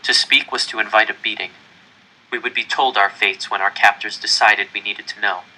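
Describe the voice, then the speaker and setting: A man reading a first-person novel aloud as a dramatic, deliberate and expressive narrator. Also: fairly low pitch, rough recording. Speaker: audiobook-style reader.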